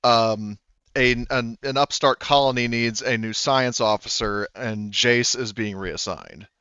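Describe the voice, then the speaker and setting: A man acting as game master in a tabletop game, explaining story events with lots of pauses and restarts. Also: mid-range voice, bright, forceful tone, clear, resonant tone, no echo, lo-fi sound. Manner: hesitant, many ums and restarts.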